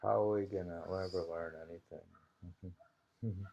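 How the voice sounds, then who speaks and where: Low, slow, gravelly man musing over poetry. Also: steady tone, dark tone, a little hiss or hum. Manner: halting, musing, repeats lines slowly. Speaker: reader of a poetry anthology. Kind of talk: unhurried poetry reading with informal commentary. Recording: dull lo-fi home recording.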